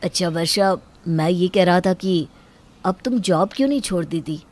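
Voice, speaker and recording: A woman plays a young man engaged to be married in a dramatized story. The voice is on the low side for a woman, measured, evasive and mildly insistent. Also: darkish tone, natural voice. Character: evasive young male engineer fiancé, mother-obedient. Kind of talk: acted Hindi audio story.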